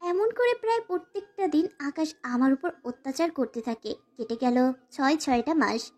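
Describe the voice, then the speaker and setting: A woman's voice: high voice, animated, moderate pace, mellow. A woman voicing a timid, sorrowful young wife who narrates a dramatized romantic story and pleads submissively. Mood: sorrowful narration. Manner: formal, submissive address to her husband.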